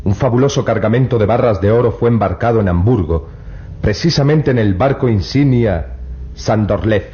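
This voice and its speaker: An actor playing an earnest, persuasive young treasure hunter in a vintage radio drama. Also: male voice, on the low side, normal speed, mellow, natural voice. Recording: dull lo-fi old radio recording.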